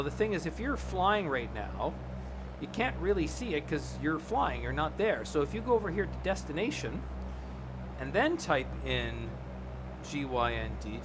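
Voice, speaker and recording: A man's voice: a little high, rough recording. A man giving a casual, informal live stream tutorial, explaining things step by step to his viewers. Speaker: live streamer, flight simulator host.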